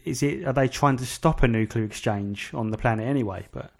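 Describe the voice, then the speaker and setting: A man's voice: mid-range voice, brisk, gravelly. Male talk-show interviewer, mid-range voice, informal and tentative, thinking through questions aloud. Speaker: host, interviewer. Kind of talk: casual radio interview.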